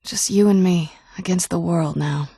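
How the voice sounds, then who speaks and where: Fantasy game companion: guarded ancient vampire noblewoman, lowish mellow voice, wry understatement, close dry sound. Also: normal speed, breathy edge, no echo. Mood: guarded, wistful. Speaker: adventuring companion character in a fantasy video game. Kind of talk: video game dialogue acting.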